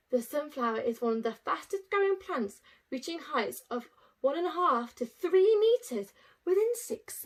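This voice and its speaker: Casting: woman, higher-pitched voice, primary teacher doing an expressive read-aloud for young pupils with simple asides. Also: poor audio. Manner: addresses young children, explaining simply with rhetorical tag questions. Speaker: infant school teacher reading to pupils.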